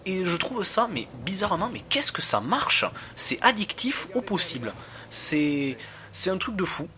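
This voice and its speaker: A man's voice: sits high, big pitch swings, measured pace, bright, forceful tone. A man giving casual gameplay commentary as a reviewer, talking in a slangy, hesitant, rambling way. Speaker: video game reviewer.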